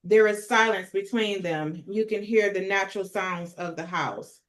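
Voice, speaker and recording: Medium-pitched woman reading stage directions. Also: moderate pace. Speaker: narrator and table read leader.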